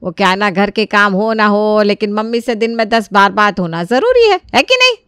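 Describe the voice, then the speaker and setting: A woman's voice: medium pitch, expressive, normal speed, fairly bright tone, clear, resonant tone, no room sound, clean studio sound, close to an everyday voice. A woman voicing a bossy, sarcastic older mother-in-law who scolds and nags in a dramatised story.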